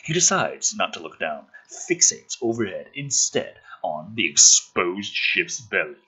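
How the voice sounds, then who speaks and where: Husky, wildly animated male script reader. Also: low-pitched, measured pace, rough recording. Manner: theatrical, emphatic, sarcastic. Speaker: host reading a film script aloud. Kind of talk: dramatic script reading with sardonic asides.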